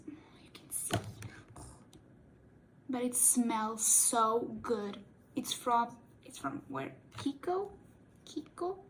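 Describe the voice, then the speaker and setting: Chatty young woman, Portuguese native, playful. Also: high voice. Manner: rambling, playful, self-correcting, giggly. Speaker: cosplay makeup vlogger. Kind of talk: casual chatty makeup tutorial.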